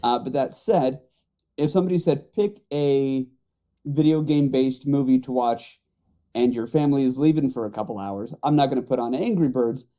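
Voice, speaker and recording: A man's voice: mid-range voice, moderate pace, warm tone, dull audio. A middle-aged man chatting casually on a podcast panel about movies.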